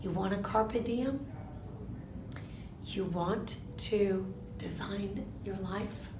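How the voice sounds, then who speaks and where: A woman in her fifties, born in Argentina, answering interview questions in a measured way, often posing a question to herself and then answering it. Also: mid-range voice, echoey room, background noise. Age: 50s. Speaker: interviewee, lawyer.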